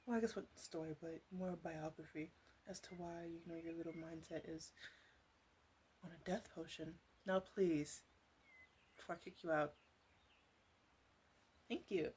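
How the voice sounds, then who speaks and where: Low, soft, teasing witch played by a woman. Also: fairly even intonation, unhurried, pauses often. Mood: impatient, bossy. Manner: rambling, hesitant, teasing. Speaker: ASMR roleplay performer. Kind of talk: ASMR roleplay, half whispered. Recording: clearly audible background noise.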